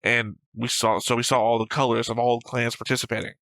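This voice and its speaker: Male reaction-show co-host, bright, gravelly edge, even delivery, dry teasing banter, close dry sound. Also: medium pitch, fairly quick, no echo. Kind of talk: casual unscripted banter and commentary.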